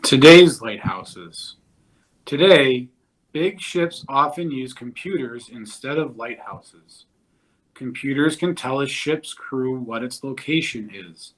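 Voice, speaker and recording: A man reading a children's nonfiction book aloud in a measured, deliberate way. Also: mid-range voice, expressive, fairly bright tone, rough recording.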